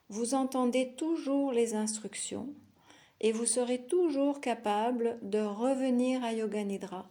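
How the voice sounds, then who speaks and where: A woman teaching yoga nidra, guiding a meditation in a soothing, unhurried manner with long pauses between short phrases. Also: fairly high pitch, dark tone. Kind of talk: guided relaxation meditation.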